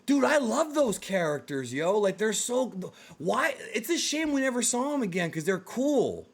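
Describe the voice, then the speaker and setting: A man hosting a casual discussion, talking in an animated, slangy way like an excited fan. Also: sits high, normal speed. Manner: animated, slangy, addresses co-host as dude and yo. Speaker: host of a video game movie discussion show.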